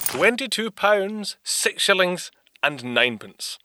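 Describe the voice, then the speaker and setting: Courteous, higher-voiced English gentleman. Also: very animated, takes it slowly, slight background noise, exaggerated voice. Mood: coolly indignant. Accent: British. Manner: polite, formal, restrained. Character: reserved, courteous 1930s English gentleman inventor. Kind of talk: full-cast audio drama acting.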